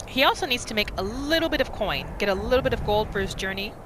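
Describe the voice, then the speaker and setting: A woman's voice: fairly high pitch, expressive, fast, background noise. A woman giving casual, storytelling commentary as she narrates a roleplay video game. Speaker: gamer narrating a roleplay series.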